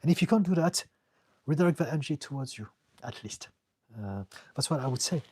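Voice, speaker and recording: A man's voice: a little high, expressive, brisk, a little mellow, slightly rough, no room sound, studio quality. A man with a French accent, a therapist answering viewers' questions on a live stream in an earnest, direct way, sometimes repeating words as he searches for them. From France. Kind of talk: live-stream Q&A, casual advice.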